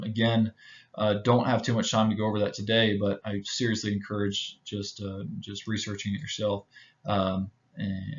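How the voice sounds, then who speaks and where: An American man from Houston giving an informal slide presentation, speaking hesitantly with many filled pauses. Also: on the low side, dull audio. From Houston, United States. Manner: halting, hesitant delivery with frequent filled pauses. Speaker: presenter, pilot.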